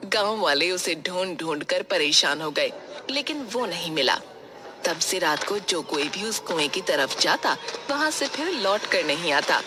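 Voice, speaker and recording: A woman's voice: on the low side, expressive, a little slow, background noise. A woman giving scripted narration of a children's story as its storyteller.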